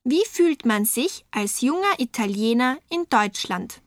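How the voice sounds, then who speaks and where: A woman interviewing guests in a studio for a scripted language-course dialogue, asking her questions slowly and with careful enunciation. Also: fairly high pitch, lively intonation, no echo.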